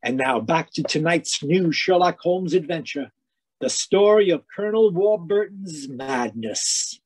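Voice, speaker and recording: Male announcer, high voice, polished persuasive sponsor read in vintage radio style. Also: expressive, measured pace, a little mellow, slightly rough. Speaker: announcer reading sponsor message. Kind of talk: 1940s radio advertising read.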